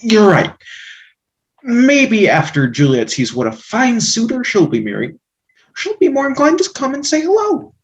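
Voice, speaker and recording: A woman's voice: a little high, huge pitch swings, measured pace, exaggerated voice. A woman in a comedic play reading, playing a scheming, exasperated parent with a theatrical, sarcastic delivery.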